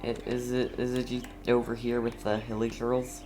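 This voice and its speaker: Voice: medium pitch, monotone, moderate pace, background noise. A streamer giving casual gameplay commentary, playful and mock-scolding, often talking straight to the game. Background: game music.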